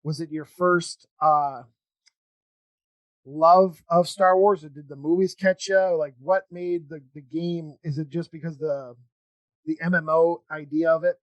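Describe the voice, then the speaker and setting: A man hosting a casual interview chat, talking in a halting, rambling way with false starts. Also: fairly high pitch, steady tone, dry recording, highs cut off. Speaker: podcast host.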